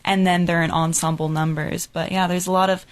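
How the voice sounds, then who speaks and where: A woman's voice: lowish voice, flat delivery. A young adult woman, a musical's director and composer, chatting upbeat in an interview with many fillers. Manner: upbeat, quick, with many "like" and "you know" fillers. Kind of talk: casual interview chat.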